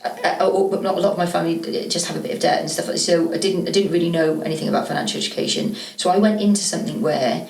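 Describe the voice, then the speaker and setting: A woman in her thirties from Wales, with only a faint trace of a Welsh accent, talks quickly and rambles through her answers. Her voice sits a little low and has a gravelly edge. She sounds a bit distant from the mic in an echoey room. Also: fairly even intonation, darkish tone. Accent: faint Welsh, mostly southeast English. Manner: fast, chatty, rambling with restarts. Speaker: guest, property investor. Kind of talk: casual podcast interview.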